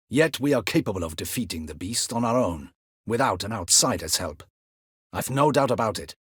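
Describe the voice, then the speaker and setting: An actor playing a stern, proud, dutiful captain of a ducal guard in a fantasy video game, with a formal, clipped, haughty delivery and a husky voice. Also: male voice, medium pitch, fairly bright tone, no echo, studio quality, heavily acted.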